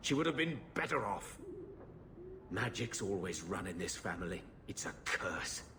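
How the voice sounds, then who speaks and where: Slow-spoken, bitter grieving uncle. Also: male voice, medium pitch, noisy background. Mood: weary sorrow. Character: bitter grieving older uncle, gruff and blunt. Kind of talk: dramatic video game acting.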